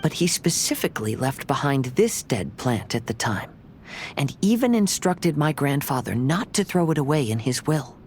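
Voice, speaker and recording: A man playing a gentle, thoughtful young swordsman in a video game, speaking in a measured, courteous way. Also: on the high side, very animated, slight background noise. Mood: reflective.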